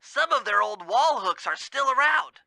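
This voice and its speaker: A young, brainy turtle sidekick, nervous and precise. The voice is nasal, high and very bright, recorded dry for a cartoonish game. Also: male voice, expressive, normal speed, vocal fry, no room sound, heavily acted. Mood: earnest briefing. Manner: earnest, precise, easily panicked. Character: nerdy, anxious young male turtle brain.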